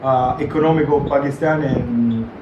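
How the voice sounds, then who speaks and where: A muffled, roomy recording carries a South Sudanese man hosting a casual reaction chat. He sounds set back from the microphone, with a mid-pitched voice and clear background noise. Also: fairly even intonation, normal speed. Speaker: reaction video host. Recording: roomy, distant mic, muffled and noisy.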